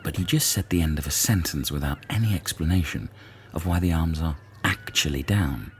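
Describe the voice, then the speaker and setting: A man voicing Gav, a dry, pedantic islander who talks flatly. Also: a bass voice, brisk, a little hiss or hum. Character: deadpan grumpy male islander Gav.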